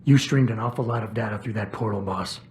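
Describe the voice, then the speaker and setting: An actor playing a stoic, probing ex-cop security chief in a game, with a hushed gravelly voice, terse and deadpan. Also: male voice, fairly low pitch, fast, lightly acted. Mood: guarded, insistent. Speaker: video game protagonist. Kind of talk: video game cutscene acting. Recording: steady background ambience noise.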